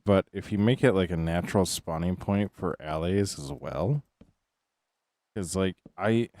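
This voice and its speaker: A man with a deep, low voice chatting casually as a game streamer, musing and repeating himself as he thinks aloud. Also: dark tone, dry recording. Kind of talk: casual chat while playing.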